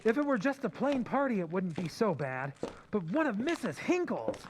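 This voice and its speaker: A man's voice: high voice, sing-song, moderate pace. An actor playing a cynical, slangy young man griping to his fiancée in an old-time radio drama, over some noise. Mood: sardonic griping. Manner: slangy, sardonic, exaggerating.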